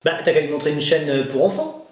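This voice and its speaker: A man's voice: fairly high pitch, very animated, quick pace. A man talking casually and exclamatorily in a conversational comedy sketch. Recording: very echoey, distant mic, faint noise.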